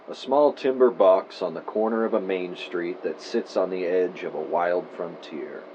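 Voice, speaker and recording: Man acting an earnest young podcaster, low voice. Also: mellow, slight background noise, poor audio. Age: 30s. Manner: measured, theatrical when narrating. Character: earnest 32-year-old male podcaster, inquisitive, theatrical narrator. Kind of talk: audio drama, moody scripted narration and interviewing.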